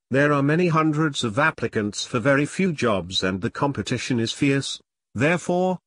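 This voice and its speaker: A man delivers scripted tutorial narration at an average male pitch. His delivery is measured and formal, with deliberate phrasing as he gives advice. The voice is close on the mic, with a very dry sound free of room reflections. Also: no room sound. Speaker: presenter of career advice tutorial.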